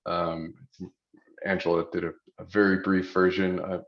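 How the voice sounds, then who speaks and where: A man in his forties from Belgium with a Flemish accent, Flemish being his first language, introducing himself on a panel in a deliberate way, pausing often. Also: low voice, level tone. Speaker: panelist, utility program manager. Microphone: video call. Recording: dull lo-fi video call audio.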